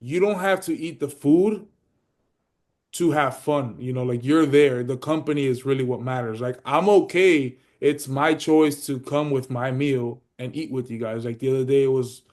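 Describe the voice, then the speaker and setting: Guest read: man, mid-pitched, casual and profane, leans on fillers. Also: moderate pace, a little mellow. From Miami, United States. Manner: loose and profane, heavy on "you know" fillers. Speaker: podcast guest, pro bodybuilder. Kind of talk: casual podcast interview.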